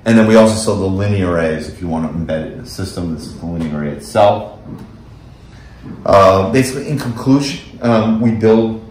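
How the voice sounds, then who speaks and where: A deep-voiced American man in his forties presents technical slides. He explains things in a conversational, matter-of-fact way. The room adds a clear echo around his voice. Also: lively intonation, fairly quick, a little mellow, slight background noise. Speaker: presenter, camera company specialist. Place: small indoor office or meeting room, beside a screen. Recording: echoey room.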